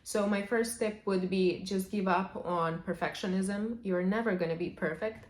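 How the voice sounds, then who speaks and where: A woman's voice: medium pitch, normal speed. Woman giving language tips. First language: Serbian. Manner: chatty, opens many sentences with "however". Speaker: language vlogger. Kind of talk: casual advice to camera. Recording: echoey room.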